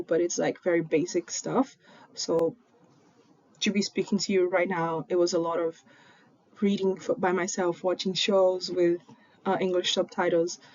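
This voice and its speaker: Brazilian woman in her 20s, kinda low voice, earnest, lots of ums, dull lo-fi audio w/ a little hiss. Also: a little mellow. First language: Portuguese. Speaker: PhD student in genetics. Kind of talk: personal story presentation. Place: home in Fortaleza, recorded remotely. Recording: dull lo-fi recording with faint noise.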